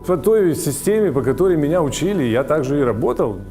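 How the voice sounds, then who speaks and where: A man with a fairly high voice lectures an audience as an English teacher. He is emphatic and explanatory, repeating his main points, with clear music playing under him. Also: very animated, a little fast.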